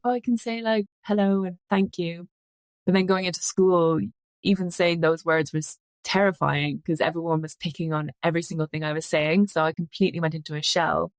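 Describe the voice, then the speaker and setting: A woman's voice: deep voice, dry recording. A young woman, a podcast guest, candidly recounting her past with frequent "kind of" and "I guess" fillers. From rural Ireland. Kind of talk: reflective personal storytelling.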